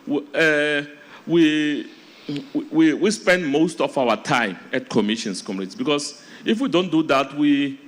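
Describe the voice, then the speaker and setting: Halting South African man addressing a congress. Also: fairly high pitch, lively intonation. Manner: halting, repeats words, formal appeals to comrades. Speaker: provincial delegate.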